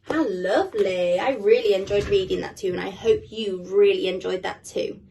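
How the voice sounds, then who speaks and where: A woman guest reader giving an expressive children's storybook reading. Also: mid-range voice, normal speed, vocal fry, a little hiss or hum.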